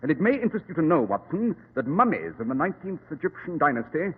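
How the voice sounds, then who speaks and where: An actor playing a brisk, self-assured male detective in an old-time radio drama, with precise, formal, condescending diction. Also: fairly high pitch, very animated, slightly rough, narrow, tinny audio. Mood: explaining. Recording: echoey, band-limited old radio recording.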